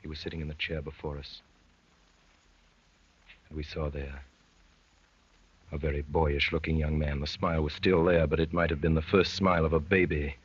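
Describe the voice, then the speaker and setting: An actor playing an earnest young gentleman poet and undercover policeman in theatrical 1930s radio drama, articulate and urgent. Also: a bass voice, level tone, normal speed, long pauses, breathy edge. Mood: hushed narrating. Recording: dull lo-fi 1930s radio recording.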